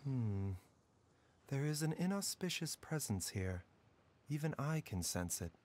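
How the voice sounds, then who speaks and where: A calm, courteous physician. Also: male voice, medium pitch, a little hiss or hum. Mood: thoughtful. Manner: measured, formal and calm. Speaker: doctor. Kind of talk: video game voice acting. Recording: game audio with soft music.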